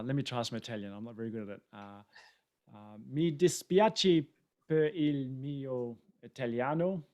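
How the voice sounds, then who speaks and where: A man from Australia with an Australian accent, giving a chatty, self-deprecating webinar presentation over a video call from an indoor room. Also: mid-range voice. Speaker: conference presenter. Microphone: webcam setup on a video call.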